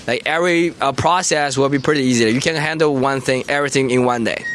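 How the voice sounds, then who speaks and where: A man with a Chinese accent and a bright, forward voice talks on a street livestream, easygoing and a little halting as he restarts phrases in his second language. He sounds relaxed and chatty, recorded outdoors on a city street. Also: mid-range voice, a little hiss or hum. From Taizhou, Zhejiang, China. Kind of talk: casual street livestream chat. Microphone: phone.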